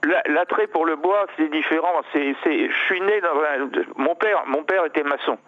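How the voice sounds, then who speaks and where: A man in his seventies from Normandy, a sculptor interviewed by phone, talking in a halting way with many restarts and fillers, chuckling now and then. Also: on the high side. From Normandy, France. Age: 70s. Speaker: sculptor guest. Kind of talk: casual interview over the phone. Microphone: telephone line. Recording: muffled phone line.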